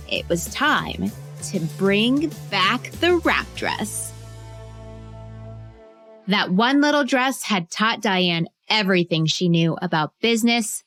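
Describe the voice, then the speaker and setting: A woman reads a scripted story as a podcast narrator. Her delivery is lively and varied in pitch, taking its time and leaning deliberately on key words. Music plays behind her. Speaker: narrator and host of a children's biography podcast.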